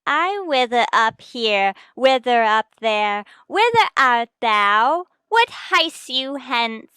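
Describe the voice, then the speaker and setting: A woman's voice: fairly high pitch, sing-song, pushed and bright, smooth, dry recording, heavily acted. A woman playing a ditzy, man-chasing tavern cashier hamming it up theatrically in an old-time radio comedy. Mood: melodramatic. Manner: theatrical, drawn-out, melodramatic.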